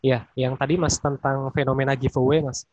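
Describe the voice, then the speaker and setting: A man moderates an online seminar in a husky, slightly breathy voice. He speaks politely and hesitantly, leaning on fillers. The audio is dull and dry, like an online call. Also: medium pitch, flat delivery, normal speed, darkish tone, no room sound.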